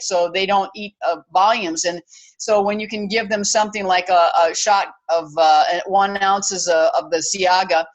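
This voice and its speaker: A woman's voice: medium pitch, rough recording. A woman in her sixties, a wellness consultant talking casually on a panel, chatty and often restarting her sentences. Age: 60s. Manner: chatty, with frequent restarts and fillers.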